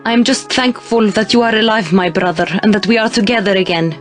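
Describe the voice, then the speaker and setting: A woman's voice: medium pitch, fairly quick, close to an everyday voice. A woman playing a tender, determined long-lost princess sister in a dramatic video game cutscene. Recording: soft music behind the voice.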